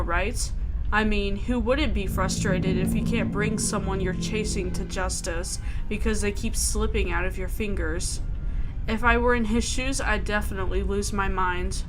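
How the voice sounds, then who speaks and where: A woman reading visual novel narration expressively, with casual, amused asides. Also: mid-range voice. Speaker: let's play host. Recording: clear background noise.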